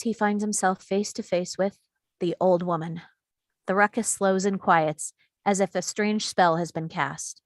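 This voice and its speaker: Female narrator for screenplay stage directions, mid-range pitch, scripted read, dry recording. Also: no room sound.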